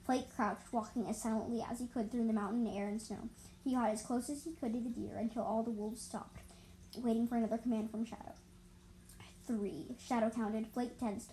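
A woman's voice: a little high, steady tone, fairly quick, dark tone, slight background noise, poor audio. A woman reading animal stories as an amateur storyteller, stumbling over and repeating words and adding explanatory asides.

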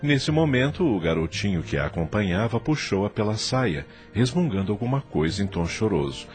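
Male narrator, lowish voice, very lively intonation, dramatic radio serial storytelling, faint music bed. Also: normal speed.

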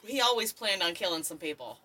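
A woman's voice: on the low side, animated, brisk, sharp tone. A woman co-hosting a podcast, chatting casually and explaining the plot while watching a movie. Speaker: podcast co-host.

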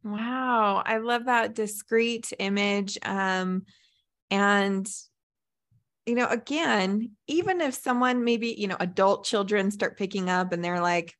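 A woman's voice: mid-range voice, fairly bright tone. Chatty woman podcast host. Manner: chatty and responsive, quick to laugh along. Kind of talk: conversational interview.

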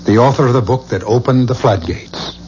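An actor in a 1970s radio drama playing an anguished, haunted man who believes he is a killer reborn, with intense delivery and weighted pauses. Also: medium pitch, a little mellow, lightly acted. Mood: tense, troubled. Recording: dull, noisy 1970s radio recording.

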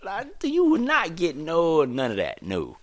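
A man's voice: on the high side, huge pitch swings, a little slow. A man in casual podcast chat, slangy and emphatic as he hands out advice. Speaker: podcast co-host.

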